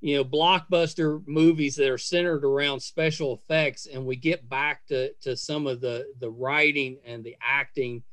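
A middle-aged man hosting a casual podcast interview, speaking in a gushing, effusive way with halting phrasing. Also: mid-range voice, fairly even intonation, fairly bright tone, dry recording. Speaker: podcast host interviewing guests.

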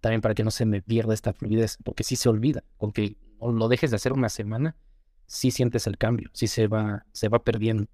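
A man's voice: lowish voice, little pitch movement, brisk, dark tone, dry recording. A young Mexican man with a Mexican accent, a guest talking earnestly and motivationally in a casual interview, often repeating words as he gathers his thoughts. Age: young adult.

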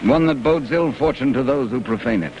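A devout New England sea captain played by a male actor speaks formally and firmly, with an expressive, swinging pitch. He is steady and kindly in old-time radio style, on a dull, faintly hissy recording. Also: fairly quick, lightly acted. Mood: stern, formal. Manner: formal, archaic seafaring speech. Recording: muffled old radio recording with background hiss.

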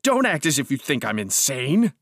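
A man's voice: mid-range voice, normal speed, no room sound, studio quality, close to an everyday voice. A man voicing a deluded, imperious madman in a storybook reading, grandiose and commanding, with simmering rage. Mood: triumphant fury.

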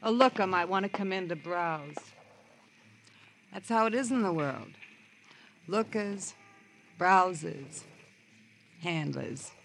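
An actor playing a jilted, sardonic auction-shop worker in an American radio crime drama, with a theatrical, world-weary drawl and an American accent. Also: male voice, high-pitched, expressive, slow, creaky, pauses often, fairly bright tone, heavily acted. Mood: wry, weary. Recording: noisy old radio drama recording.

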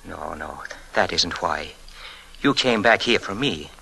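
An actor playing an unhinged, cunning young murderer in an old-time radio thriller, muttering and repeating himself, then swinging into frenzy. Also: male voice, sits low, lively intonation, slow pace, creaky, sharp tone, husky, full character voice. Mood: cold, edgy. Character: unhinged young male murderer, cunning, paranoid, childishly boastful. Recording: vintage radio drama with dramatic music.

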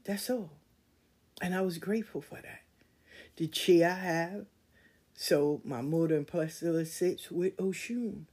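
A woman hosting a podcast, speaking in in a candid storytelling way that swings from loud emphasis to quiet asides. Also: fairly low pitch, unhurried, pauses often, breathy edge. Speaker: podcast host. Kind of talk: casual monologue.

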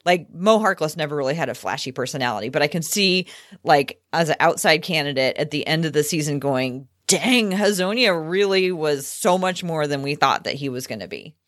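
Low-voiced woman chatting about sports, dry sound. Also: expressive, a little fast, fairly bright tone, no echo. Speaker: sports podcast co-host. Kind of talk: casual sports chat.

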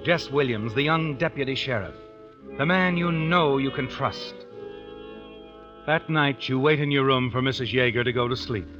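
An ominous storyteller of an old radio thriller, insinuating and deliberate. His voice is sharp and intense at a medium pitch. Faint music sits behind the dull vintage recording. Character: ominous, knowing male narrator addressing the heroine. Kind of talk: dramatic second-person radio suspense narration. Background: dramatic music.

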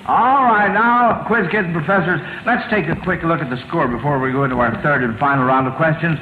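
A man's voice: a little high, a little fast. A man hosting an old-time radio quiz show in an avuncular, hesitant, folksy way. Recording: dull, noisy, roomy old radio recording.

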